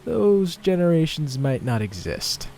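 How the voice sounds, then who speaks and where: A man's voice: fairly high pitch, sing-song, measured pace, gravelly, warm tone, faint music behind, heavily acted. An actor playing a flippant, self-mocking young man stranded in a fantasy world, theatrically muttering to himself as in an anime dub. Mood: exasperated, muttering. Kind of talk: anime dub internal monologue.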